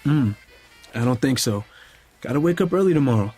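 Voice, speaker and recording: A man acting a protective, touchy high school athlete in an audio drama, speaking in a casual, clipped way. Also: lively intonation, a little fast, dark tone, faint music behind, natural voice. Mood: guarded, hopeful.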